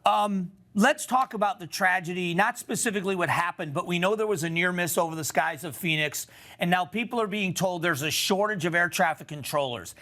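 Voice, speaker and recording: A man's voice: sits high, fairly quick, pushed and bright. An American man hosting a television news interview, putting questions to a guest in broadcast style.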